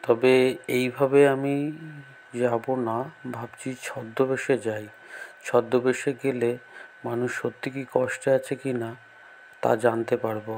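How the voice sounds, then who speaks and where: Creaky-voiced, earnest young gentleman played by a man. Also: medium pitch, level tone, measured pace, pauses often, a little hiss or hum, close to an everyday voice. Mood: pensive, resolved. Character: kindly young landlord gentleman in disguise, concerned and helpful. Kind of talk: cartoon story dialogue.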